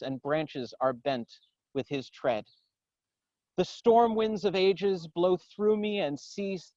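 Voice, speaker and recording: A man gives a dramatic poetry recitation outdoors, in solemn, declamatory, measured phrases. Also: high-pitched, a little mellow, no room sound, lo-fi sound. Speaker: poetry reader. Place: outdoors in a garden.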